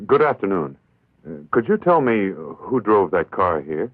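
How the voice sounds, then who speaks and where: A narrow, tinny old film track holds an irritable traveller. His low, husky voice swings widely as he goes from curt to polite. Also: very animated, unhurried, full character voice. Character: irritable, impulsive man stranded on a trip, protective of car. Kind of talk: dubbed vintage horror film acting.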